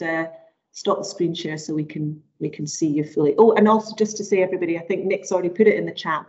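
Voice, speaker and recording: A woman hosting an online session and introducing a guest, speaking hesitantly with many fillers. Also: fairly low pitch. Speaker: webinar host. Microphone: video call. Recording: dull lo-fi video call audio.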